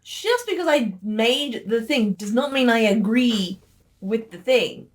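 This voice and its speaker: A woman's voice: fairly high pitch, animated. A woman giving casual director's commentary, bantering in a contrary, teasing way. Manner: contrary, teasing, quick to argue.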